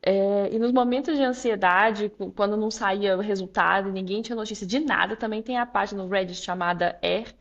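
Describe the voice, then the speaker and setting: Woman in her twenties, Minas Gerais accent, mid pitch; candid, reflective guest, chatty with fillers. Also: steady tone, brisk, hardly any pauses, muffled recording. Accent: Minas Gerais Portuguese. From Brazil, Minas Gerais. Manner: reflective, candid storytelling with frequent "é" fillers and laughs. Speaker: guest, law graduate student. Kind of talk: casual interview chat.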